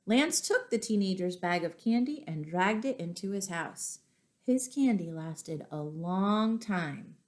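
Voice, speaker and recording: A woman reading stories aloud to young children, expressive, pausing to ask them questions. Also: mid-range voice. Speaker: children's storytime reader and teacher.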